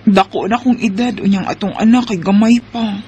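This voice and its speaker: A woman's voice: medium pitch, normal speed, mellow, dull audio, a little hiss or hum, natural voice. A woman acting an affectionate young woman longing to settle down, in a Filipino radio drama. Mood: wistful, pleading.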